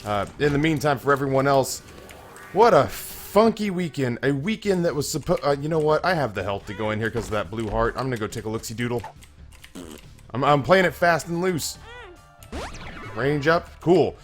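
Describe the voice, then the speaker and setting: A slightly hoarse young man hosting a gaming channel, telling a long, self-mocking story with many restarts while he plays. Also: medium pitch, expressive, normal speed, soft background music. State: a little hoarse. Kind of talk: casual let's-play commentary.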